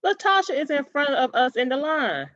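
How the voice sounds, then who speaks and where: Woman voicing an indignant, emphatic young schoolgirl, high-pitched. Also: very animated, a bit bright, no room sound, highs cut off. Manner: emphatic, complaining, drawn-out stresses. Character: indignant young schoolgirl with a strong sense of fairness.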